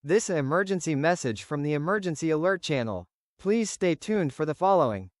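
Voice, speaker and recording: A man's voice: on the high side, lively intonation, brisk, no echo, studio quality. A man giving a formal scripted station announcement. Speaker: channel announcer.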